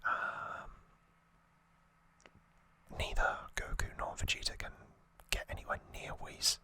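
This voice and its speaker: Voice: takes it slowly, pushed and bright. An ASMR host whispering an unscripted, slightly hesitant retelling, close to the microphone. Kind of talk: whispered ASMR trivia recap.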